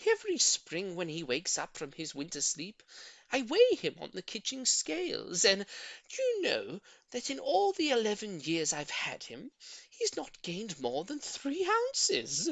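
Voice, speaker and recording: Man putting on a high, gushing storybook lady. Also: wildly swooping pitch, measured pace, gravelly, fairly bright tone, dry recording, full character voice. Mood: wistful, fretting. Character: gushing, sweet middle-aged lady doting on her pet. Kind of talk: audiobook character voice.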